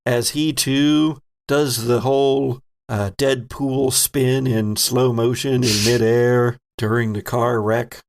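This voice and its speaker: A man hosting and narrating a horror role-playing game as game master, theatrical and deliberate, with playful dramatic emphasis. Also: mid-range voice, fairly even intonation. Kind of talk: theatrical narration for an actual-play horror podcast.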